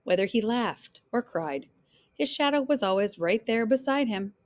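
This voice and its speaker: A woman reading a children's picture book aloud in an expressive, dramatic storyteller's way, phrasing deliberately for young listeners. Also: a little high. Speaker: read-aloud storyteller, teacher.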